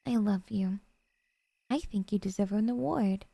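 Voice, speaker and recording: Soft, mellow yandere girlfriend, acted by a woman. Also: medium pitch, unhurried, creaky, husky, dry recording. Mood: excited, grateful. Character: sweet, possessive, obsessive young woman kidnapper girlfriend. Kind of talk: ASMR roleplay.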